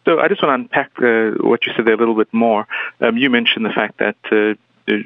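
A man's voice: medium pitch, a little fast, no echo. A man hosting a podcast interview in a polite, formal way with some fillers. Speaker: podcast host and editor. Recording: tinny phone-like line, dry.

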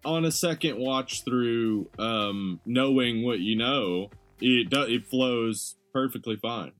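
A man with a loud voice co-hosting a podcast, chatting about a movie in an easygoing way. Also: medium pitch, takes it slowly. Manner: easygoing, agreeable, frequent laughs and "yeah"s. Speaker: podcast co-host. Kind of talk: casual podcast chat.

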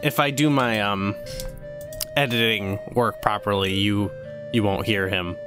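A man's voice: fairly low pitch, steady tone, a little slow, over music. A man co-hosting a podcast in casual chat, laid-back and a little hesitant in how he talks. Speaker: podcast co-host.

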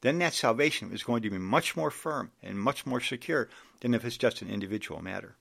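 A man's voice: mid-range voice, expressive, a little fast, vocal fry, fairly bright tone. A man in his sixties preaching an earnest sermon, reading scripture aloud and exhorting his listeners. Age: 60s.

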